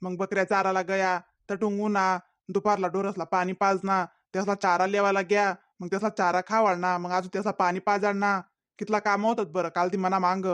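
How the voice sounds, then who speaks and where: A man's voice: high-pitched, little pitch movement, a little fast, fairly bright tone, clear, resonant tone, no room sound. A man voicing a defensive young village boyfriend in a comedy cartoon, pleading and making excuses in a Khandeshi Ahirani accent. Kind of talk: comedy cartoon dialogue in dialect.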